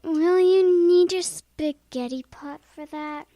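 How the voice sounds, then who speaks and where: Casting: young schoolgirl , woman acting, kids' radio play. High, dry-recorded voice, helpful and plain-spoken. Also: takes it slowly, warm tone, no room sound. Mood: casual, evasive. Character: young schoolgirl, helpful, frank, a little secretive. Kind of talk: children's radio drama acting.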